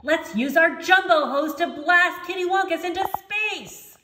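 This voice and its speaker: A woman's voice: extremely high pitch, a little slow, sharp tone. A woman reading a children's story aloud as its author, playful and theatrical, acting out the characters. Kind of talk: animated storybook read-aloud with character voices. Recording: roomy echo with music behind.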